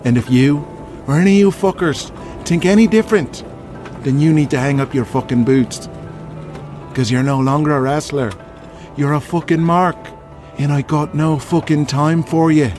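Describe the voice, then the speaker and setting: A man's voice: on the high side, moderate pace, a little mellow, soft background music, close to an everyday voice. A man playing a bitter, foul-mouthed Irish pro wrestler in an audio drama, blunt and belligerent. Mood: bitter, contemptuous.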